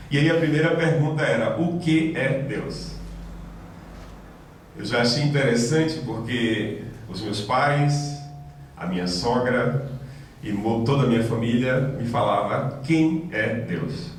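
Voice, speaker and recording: A man, giving a reflective personal testimony lecture at a spiritist center, with pauses and restarts. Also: medium pitch, distant mic, a little hiss or hum. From Salvador, Bahia, Brazil. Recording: echoey hall, voice from afar.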